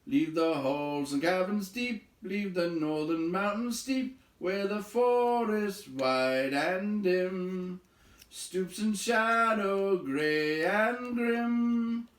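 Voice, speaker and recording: A man voicing merry elves in a storybook, singing an unaccompanied river song in slow, drawn-out rhyme. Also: high voice, animated, voice pushed up, heavily acted. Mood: merry, lilting. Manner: drawn-out, lilting rhymed delivery. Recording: echoey room.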